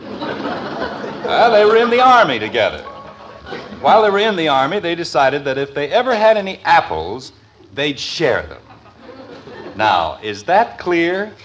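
Exasperated 1950s radio sitcom dad. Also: male voice, fairly high pitch, sing-song, measured pace, pauses often, fairly bright tone, slight background noise, poor audio. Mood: patient improvising. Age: middle-aged. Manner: exasperated, emphatic. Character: exasperated suburban father, fussy, sarcastic, losing patience. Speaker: lead actor in a radio sitcom. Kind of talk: 1950s radio comedy acting. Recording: vintage radio broadcast recording.

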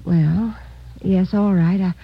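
Subdued widow, muffled sound. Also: female voice, fairly low pitch, steady tone, takes it slowly, creaky, slightly rough, full character voice. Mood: subdued, courteous. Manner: polite, hesitant. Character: subdued, courteous wealthy widow. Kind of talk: 1950s radio drama acting. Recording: muffled, faintly noisy old radio recording.

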